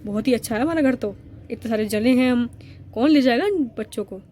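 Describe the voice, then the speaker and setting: Doll-story husband: woman doing a boastful, reassuring grown man, high and light, faint noise behind. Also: brisk, warm tone, close to an everyday voice.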